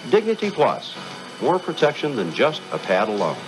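A man's voice: mid-range voice, huge pitch swings, normal speed, rough recording. A man reading a scripted radio advertisement as a commercial announcer. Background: music.

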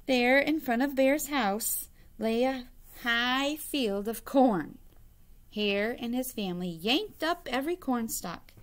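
Slow, expressive woman reading a storybook. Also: on the high side. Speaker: teacher reading aloud. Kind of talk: expressive children's storybook read-aloud. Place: indoor classroom.

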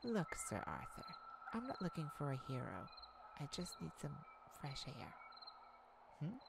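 A woman's voice: low voice, huge pitch swings, creaky, very noisy, exaggerated voice. A woman playing a weary, proud and guarded young half-blood princess in a scripted roleplay audio drama, speaking in formal, wistful phrases. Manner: formal, measured, wistful storybook speech.

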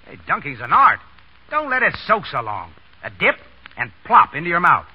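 Lively, wisecracking bossy man. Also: on the high side, normal speed, breathy edge, full character voice. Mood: brisk, bossy. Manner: wry, teasing. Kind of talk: 1930s radio drama acting. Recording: muffled, noisy 1930s radio broadcast.

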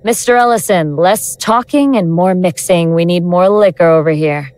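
A woman's voice: fairly low pitch, a little mellow, natural voice. A woman acting in an audio drama as a mocking elder god in the guise of a young woman, teasing and condescending. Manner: slow, teasing, condescending, self-possessed.

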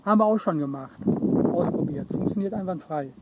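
A man's voice: on the high side, fairly quick, very noisy. A middle-aged man co-hosting a camping vlog, casually explaining a product outdoors. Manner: explanatory, chatty, gestures while talking. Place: outdoors on a campsite lawn beside a camper van. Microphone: camera mic from a few meters.

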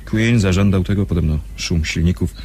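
An actor playing a brisk, impatient undercover officer in a radio drama, talking in a curt, businesslike way. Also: male voice, low-pitched, darkish tone. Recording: noisy recording with background ambience.

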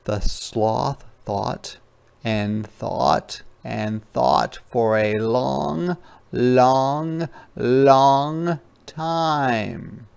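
A man's voice: mid-range voice, very slow, gravelly, a little mellow, smooth. A man reading a picture book aloud as a teacher, explaining things simply and conversationally for children. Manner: conversational, repeats phrases.

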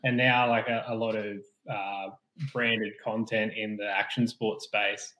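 A man's voice: fairly low pitch, level tone, a little slow, pushed and bright, a little hiss or hum. A man, a filmmaker describing his background in an easygoing way. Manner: easygoing with fillers. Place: studio office. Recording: roomy echo on call.

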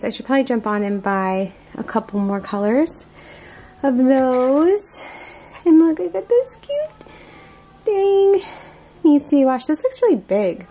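A woman's voice: high voice, mellow. A young adult American woman chatting casually to viewers, thinking aloud in a meandering, informal way, recorded on a handheld camera at home. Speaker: vlogger, mother preparing for a baby. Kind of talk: casual vlog chat to viewers. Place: inside a home, moving between rooms. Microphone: handheld camera mic. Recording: handheld camera with clear background noise.

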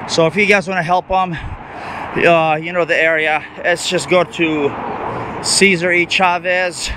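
Guy filming himself walking around town, kinda high voice, rambly, cars going by. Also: steady tone. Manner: rambling, halting, with ungrammatical phrasing. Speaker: vlogger. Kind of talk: walking street vlog commentary. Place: city sidewalks outdoors. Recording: outdoor street noise.

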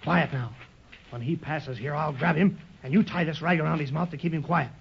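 An actor playing a rough, bossy, short-tempered villainous sailor with a gruff, salty way of talking, in old-time radio adventure style. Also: male voice, a little high, fairly quick, a little hiss or hum. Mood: threatening. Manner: gruff, salty uneducated seaman's talk. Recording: dull lo-fi old radio recording.

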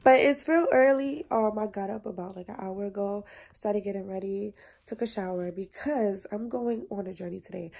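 A woman's voice: mid-range voice, creaky, mellow, slightly rough. A young woman vlogging casually to her phone camera, chatty and slangy, still congested from a cold. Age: young adult. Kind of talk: casual vlog talk to camera. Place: small room indoors. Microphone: phone camera at arm's length.

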